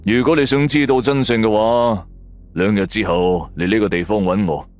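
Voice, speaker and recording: A man voicing a menacing, unhurried old tomb hunter in a Cantonese radio drama, speaking slowly and with measured command. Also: fairly low pitch, soft background music, pitched below the performer's own voice, natural voice. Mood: solemn, commanding.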